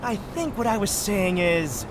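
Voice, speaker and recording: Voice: mid-range voice, expressive, measured pace, background noise, natural voice. An actor voicing an awkward, self-conscious young artist in a cartoon, stammering and wordy in a theatrical way. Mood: flustered, defensive.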